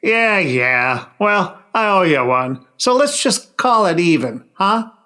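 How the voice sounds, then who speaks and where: An actor playing a wheedling tipster in a noir radio play. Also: male voice, high-pitched, sing-song, takes it slowly, sharp tone, clear, resonant tone, heavily acted.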